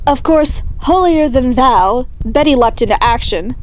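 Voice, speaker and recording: A woman's voice: high-pitched, expressive, unhurried, a little hiss or hum. A woman voicing a snobbish rich teenage girl who narrates the story in a sarcastic, dramatic way. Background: music.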